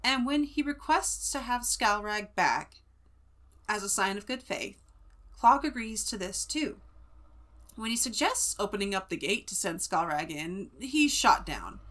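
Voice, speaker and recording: A woman's voice: medium pitch, animated, unhurried, a bit bright. A woman with a Central California accent, co-hosting a book podcast and retelling a chapter in a chatty way. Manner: chatty, many fillers. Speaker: podcast co-host.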